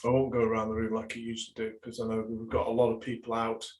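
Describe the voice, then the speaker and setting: A man's voice: fairly low pitch, completely flat delivery, fast talker, a little mellow. A man, a business coach, leads a live online workshop, talking with many fillers and restarts while losing his voice over a video call. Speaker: business and marketing coach leading a webinar.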